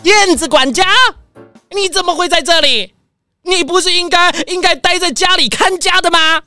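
A woman playing a fussy, excitable rich man who frets and whines in a children's audio detective drama. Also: extremely high pitch, moderate pace, no echo, faint music behind. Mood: shocked. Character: fussy, panicky rich man frantic about his sports car.

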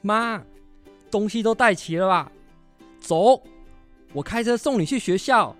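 A middle-aged dad, played by a man in a family radio drama, nagging and worried. His delivery swings widely in pitch, exasperated and insistent, with faint music underneath. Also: expressive, unhurried.

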